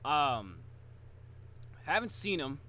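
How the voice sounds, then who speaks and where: A man's voice: on the high side, very animated, slow pace, pushed and bright. A man hosting a casual livestream reaction, talking informally and often interrupting himself. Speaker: reaction video host, streamer.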